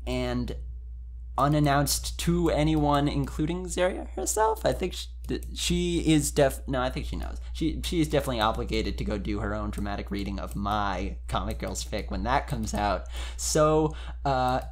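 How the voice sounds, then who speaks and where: A man's voice: mid-range voice, lively intonation, normal speed. A man hosting a dramatic fan fiction reading, with casual commentary. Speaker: host and fan fiction reader.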